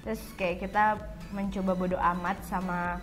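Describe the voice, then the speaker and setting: A woman's voice: lowish voice, little pitch movement, normal speed. Young woman chatting over music. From Java, Indonesia. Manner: informal, addresses viewers as friends. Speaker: co-host of a language vlog. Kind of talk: casual chat explaining words to viewers. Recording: background music.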